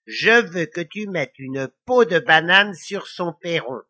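Man playing a sneering, theatrical little devil, bright-voiced. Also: a little high, lively intonation, takes it slowly, heavily acted. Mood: scheming. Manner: sneering, theatrical, cackling taunts. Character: mischievous, boastful five-thousand-year-old little devil, male.

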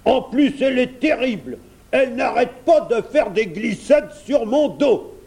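A man's voice: extremely high pitch, expressive, measured pace, creaky, a little mellow, pitched above the performer's own voice, heavily acted. A man voicing a grumpy, bored, princess-eating dragon in a children's storybook, roaring and complaining. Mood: indignant grumbling.

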